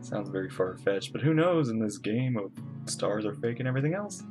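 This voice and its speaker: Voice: medium pitch, animated, fairly quick. A streamer giving casual, laid-back and wry commentary while playing a game. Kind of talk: casual gameplay commentary and reading along. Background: game music.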